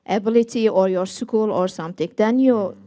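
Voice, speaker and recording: A woman's voice: mid-range voice, normal speed, warm tone. A woman panelist sharing her experience, hesitant and searching for words in non-native English. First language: not Finnish.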